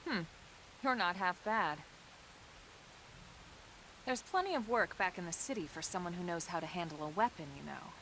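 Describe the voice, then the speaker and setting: An actress playing a composed, capable woman soldier in a sci-fi video game, speaking in a measured, matter-of-fact way. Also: on the low side, lively intonation, sharp tone, slight background noise, poor audio. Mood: approving, businesslike. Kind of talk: video game cutscene acting.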